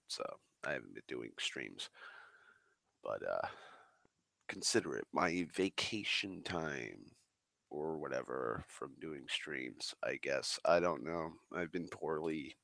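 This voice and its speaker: A man rambling slowly on a stream, swearing often and sighing, a little congested as he gets over the flu. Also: a bass voice, a bit bright, no echo. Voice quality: gravelly. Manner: swears a lot, drawling, slow and sighing. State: recovering from flu, slightly congested. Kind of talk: rambling casual monologue. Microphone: desk microphone.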